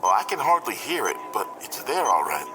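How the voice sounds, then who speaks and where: A man's voice: on the low side, sing-song, fairly quick, pushed and bright, faint music behind, low-quality recording. An actor playing an anxious, frightened father flying a small plane, speaking hesitantly and with strain in old-time radio drama style. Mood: unsure, faltering.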